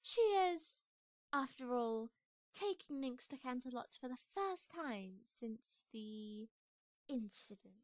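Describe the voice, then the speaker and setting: A woman's voice: sits high, animated, slow, dry recording. A woman playing a refined, gossipy female pony who frets over her friend in an amateur audio drama. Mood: confiding.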